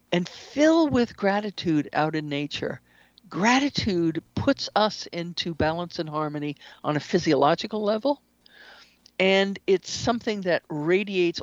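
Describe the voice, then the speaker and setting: A woman's voice: on the low side, dry recording. A woman speaking as an interview guest, explaining things in a conversational, instructive way. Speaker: interview guest, shamanic practitioner and author. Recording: slightly dull lo-fi audio.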